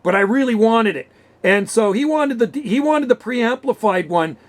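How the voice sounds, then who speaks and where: High-voiced Canadian man chatting enthusiastically. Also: animated, moderate pace. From western Canada. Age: around 60. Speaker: CB radio hobbyist. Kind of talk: casual radio chat, reminiscing. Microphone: vintage desk radio microphone.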